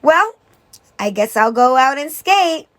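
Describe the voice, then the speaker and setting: A woman's voice: sits high, big pitch swings, takes it slowly, fairly bright tone, exaggerated voice. A woman voicing an eager, mischievous and put-upon little sister in a storybook, plaintive and scheming.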